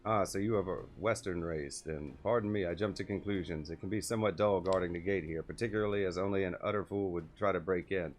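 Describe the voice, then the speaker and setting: A man's voice: sits low, quick pace, few pauses, faint music behind, lightly acted. A man voicing a talkative, formal castle gate guard in a fantasy game, apologetic and proudly explanatory. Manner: formal, wordy.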